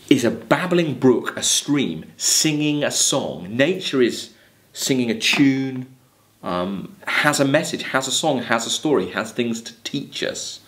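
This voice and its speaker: A man's voice: medium pitch, a little hiss or hum. A man in his thirties with a mid-range voice speaks as a college lecturer. He reads verse and explains it, often repeating words as he feels out a phrase and leaning on key terms. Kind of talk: lecture on poetry, reading verse aloud and commenting. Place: indoors, seated at a desk in a small room.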